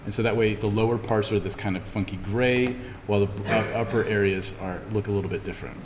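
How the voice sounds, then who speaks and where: A man teaching an informal in-class software tutorial, thinking aloud haltingly as he demonstrates. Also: fairly low pitch, steady tone. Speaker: instructor teaching 3D animation software. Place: classroom, indoors. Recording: echoey room with background noise.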